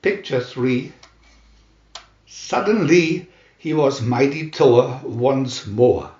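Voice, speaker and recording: A man reading a scripted adventure story aloud in a dramatic, deliberate narrator's manner. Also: medium pitch, darkish tone, roomy sound, muffled recording. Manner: dramatic, deliberate comic-adventure reading with announced picture numbers. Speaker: narrator and reader.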